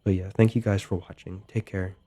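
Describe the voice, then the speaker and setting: Young male gaming host with a cold, low mellow voice, laid-back commentary full of filler words. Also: a little fast. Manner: relaxed, many ums and likes. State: getting over a cold, voice a little off. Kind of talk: casual gameplay commentary.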